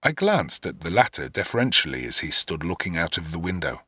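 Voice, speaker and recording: A man reads literary first-person narration. His voice is low but bright, with a slightly rough, husky edge, and it moves expressively through each long sentence. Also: big pitch swings, fairly quick, no room sound, studio quality. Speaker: audiobook narrator.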